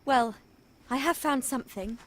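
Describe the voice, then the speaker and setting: An actress playing a sharp, exacting young British witch, forthright and articulate in a dramatic game scene. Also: high-pitched, moderate pace, a little hiss or hum, natural voice. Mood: intent, serious. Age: young adult. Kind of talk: dramatic film-style game acting.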